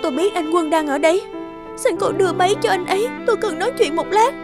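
High-voiced, distressed betrayed young mother. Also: fast. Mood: desperate. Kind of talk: cartoon drama acting. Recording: loud music behind the voice.

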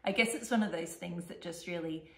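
A middle-aged woman with an Australian accent chatting casually as a knitting podcast co-host, often pausing to think aloud. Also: fairly low pitch, a bit bright. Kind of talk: casual chat showing knitting projects. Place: living room, seated on a couch. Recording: echoey room.

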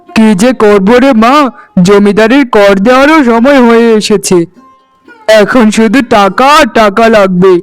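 A man voicing a tired, gentle middle-aged farmer father in a cartoon, weary and fatherly. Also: high voice, smooth, natural voice, low-quality recording. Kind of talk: cartoon voice acting.

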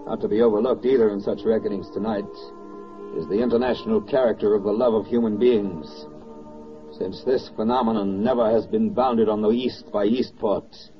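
A man's voice: on the low side, steady tone, normal speed, faint music behind, natural voice. A man performing as a solemn, poetic narrator in a 1940s wartime radio drama, with an oratorical delivery. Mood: grave, oratorical. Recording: muffled old 1940s radio recording.

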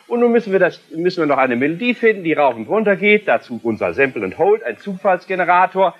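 A man's voice: sits high, big pitch swings, fast. A man in his sixties from Germany presenting a TV demonstration of electronic music equipment, explaining with a showman's emphasis. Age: 60s. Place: indoor electronic music studio. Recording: dull lo-fi 1970s television tape.

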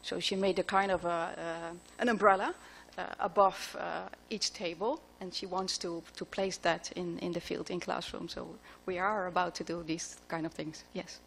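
A middle-aged woman answering audience questions after a conference talk, pausing often with fillers as she thinks, speaking into a podium microphone in a large lecture hall. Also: on the low side, fairly even intonation, creaky, a bit bright, slight background noise. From Netherlands. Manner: thoughtful, halting with frequent fillers.

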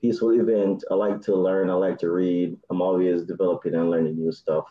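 A man in his thirties with a Jamaican accent, chatting on a video call as a dating show contestant, soft-spoken with nervous laughs. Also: sits low, flat delivery, fairly quick, roomy sound, dull audio. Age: 30s. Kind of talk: casual video call chat.

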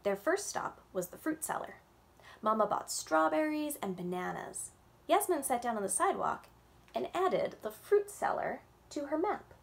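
A young woman, a children's librarian, reading a picture book aloud at story time with animated, expressive delivery, recorded on a webcam at home. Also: sits high, normal speed, creaky. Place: home room with bookshelves. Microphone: webcam on a video call.

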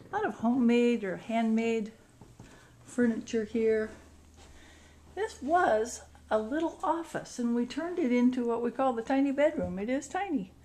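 A woman's voice: on the high side, warm tone. A woman hosting a casual walk-through tour of a rental house, speaking in short descriptive phrases as she moves from room to room. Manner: short descriptive phrases while walking about. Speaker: vacation rental host giving a house tour. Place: indoors, moving through rooms of a house. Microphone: handheld camera's built-in mic. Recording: noisy handheld camera recording.

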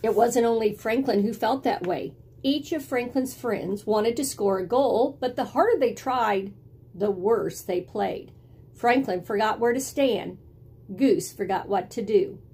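A middle-aged woman reading a picture book aloud in an expressive, deliberate storytelling style, indoors at home. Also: on the high side, a little hiss or hum. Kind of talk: expressive children's read-aloud. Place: small indoor room at home with bookshelves.

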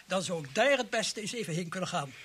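Casting: pedantic poison professor for radio drama, high-pitched, lively melody, self-assured. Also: male voice, quick pace, a little hiss or hum. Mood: pedantic, eager. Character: eccentric, eager male professor, a poison expert. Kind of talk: Dutch radio play acting.

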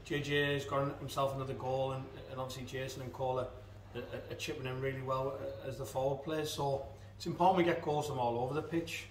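A man in his thirties gives a post-match interview as assistant football manager, talking in an even, level tone and restarting his sentences often. His voice bounces around a roomy space, picked up from a distance. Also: mid-range voice, fast, hardly any pauses, a little hiss or hum. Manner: hesitant, many fillers and restarts. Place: indoors in front of a club sponsor backdrop. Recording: echoey room, mic at a distance.

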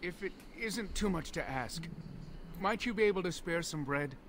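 A man's voice: high-pitched, animated, normal speed, fairly bright tone. An actor playing a polite, humble elf father, a robbed refugee in a fantasy video game. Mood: pleading, downcast. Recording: outdoor ambience noise behind.

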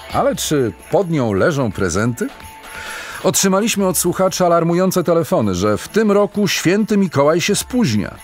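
A lively male radio presenter acted by a man with a widely swinging intonation. He is polite, probing and a little theatrical, over light music. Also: fairly high pitch. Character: lively, inquisitive male radio phone-in host. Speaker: radio host. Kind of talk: radio magazine presenting in a Christmas play.

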